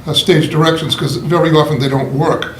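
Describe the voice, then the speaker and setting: A man's voice: fairly high pitch, fairly even intonation, brisk. A man disagreeing in a casual group discussion, with hesitant fillers. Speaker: writers' group member. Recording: echoey room, faint noise.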